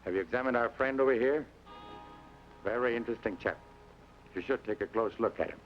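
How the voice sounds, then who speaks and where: An actor playing a calm, wry Mexican police colonel with a Mexican Spanish accent, in a dull old radio drama recording with music. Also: male voice, mid-range voice, lightly acted. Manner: dry, measured, ironic. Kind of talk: 1940s radio acting.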